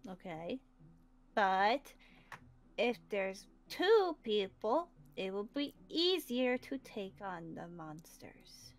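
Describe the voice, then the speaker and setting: A woman's voice: mid-range voice, big pitch swings, slow pace. A woman playing an upbeat, teasing young survivor in an in-character roleplay. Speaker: roleplay series player.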